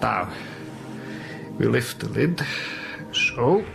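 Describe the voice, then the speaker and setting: Slow, grave old professor. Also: male voice, mid-range voice, sing-song, gravelly, exaggerated voice. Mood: grave, deliberate. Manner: deliberate, halting, repeats words for weight. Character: grave elderly foreign professor, vampire hunter, patient but relentless. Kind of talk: gothic audio drama acting. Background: dramatic music.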